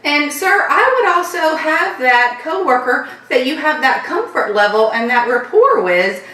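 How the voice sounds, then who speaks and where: A woman from Florida with a light Southern accent, hosting a casual viewer Q&A vlog and rambling warmly through her answers. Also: high-pitched, very animated, measured pace, recorded from a distance. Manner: chatty, repeats thanks, loose rambling sentences. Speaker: channel host answering viewer questions. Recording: echoey room, voice a bit off-mic.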